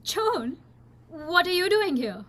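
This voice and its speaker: A woman playing a guarded, highborn young lady with a genteel, fretful way of talking in a radio drama. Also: big pitch swings, slow pace, fairly bright tone, exaggerated voice. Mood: startled, uneasy.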